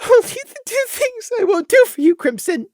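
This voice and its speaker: A woman voicing a young male pony who is broken and full of self-loathing, crying as he stammers and breaks into shouts. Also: extremely high pitch, sing-song, moderate pace, no echo, clean studio sound, pitched above the performer's own voice. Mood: tearful.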